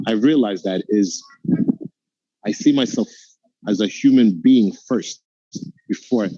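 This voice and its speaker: A man's voice: lowish voice, mellow, dry recording, dull audio. A middle-aged man from Uganda with an American-tinged accent, giving an earnest, motivational interview answer. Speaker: guest entrepreneur.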